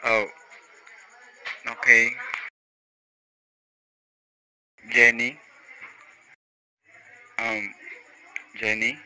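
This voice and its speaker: A man's voice: mid-range voice, flat delivery, very slow, pushed and bright, a little hiss or hum, heavily acted, rough recording. A man playing an affectionate fiancé in his thirties, living in America, in a radio drama. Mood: puzzled. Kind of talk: amateur radio drama acting in a phone call scene.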